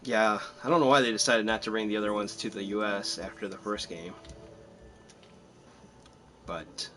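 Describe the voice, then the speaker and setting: A man's voice: fairly low pitch, steady tone, moderate pace, a bit bright, a little hiss or hum. A man casually commentating on his live game stream, chatty and self-deprecating, thinking aloud as he plays.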